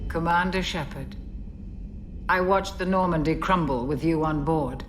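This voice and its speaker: A woman's voice: deep voice, background noise. A woman playing a composed, caring older ship's doctor in a video game, speaking thoughtfully. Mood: composed relief. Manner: measured, thoughtful.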